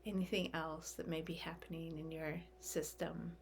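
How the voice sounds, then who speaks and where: A woman leading a guided meditation in a gentle, soft, unhurried way, leaving long calming pauses. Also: deep voice, gravelly, slight background noise.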